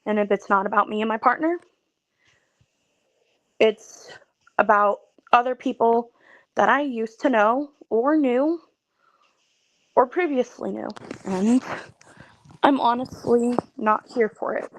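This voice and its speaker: A woman in her twenties venting in a personal video, talking in a halting, weary way with many pauses and restarts. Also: on the high side, no room sound, poor audio. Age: 20s. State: emotionally tired. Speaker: content creator.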